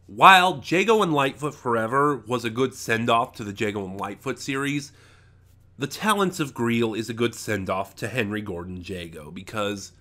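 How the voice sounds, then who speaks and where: A man giving a casual, unscripted review, speaking emphatically in a halting way, often trailing off and regrouping mid-thought. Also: on the low side, pushed and bright. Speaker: online reviewer.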